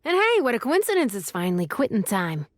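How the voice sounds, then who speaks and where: A woman's voice: a little high, huge pitch swings, brisk, noisy background. A woman playing a jaded, impatient records clerk in a game, curt and sarcastic. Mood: irritated, bored. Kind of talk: video game character acting.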